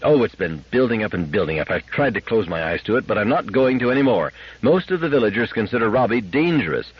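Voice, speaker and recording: Anxious, insistent housewife and mother, performed by a man; raised pitch, husky edge, lo-fi sound. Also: very animated, fast. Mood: insistent, worried. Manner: insistent, argumentative. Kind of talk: audiobook character acting. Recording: dull lo-fi radio-like audio.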